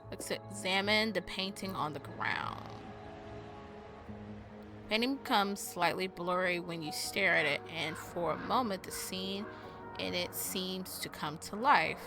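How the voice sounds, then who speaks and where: A woman's voice: fairly low pitch, unhurried. A woman game streamer giving casual playthrough commentary and reading game text aloud. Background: game music.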